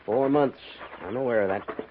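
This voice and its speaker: An actor playing a formal, exacting army major who commands a frontier post, in the measured style of 1950s radio drama. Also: male voice, medium pitch, natural voice. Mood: officious, stern. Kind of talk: 1950s radio western acting. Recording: narrow, hissy 1950s radio recording.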